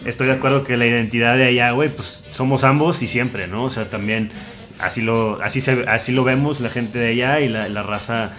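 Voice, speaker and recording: A man's voice: mid-range voice, steady tone, a little fast, a bit bright, soft background music. A man, a guest talking casually with slang and many fillers. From Reynosa, Tamaulipas. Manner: slangy, hesitant, lots of fillers. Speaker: guest, record label founder. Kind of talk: casual chat.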